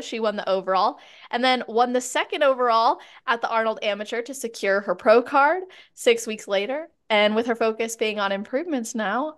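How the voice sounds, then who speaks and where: A woman hosting a podcast interview, bubbly and quick to laugh, chatting casually. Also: a little high. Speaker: podcast host.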